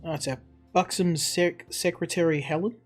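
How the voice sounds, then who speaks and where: A man with a fairly high voice gives commentary on a game as he plays. He moves between his own remarks and reading text aloud. Guitar music plays quietly behind him. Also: fairly even intonation, moderate pace. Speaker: game reviewer.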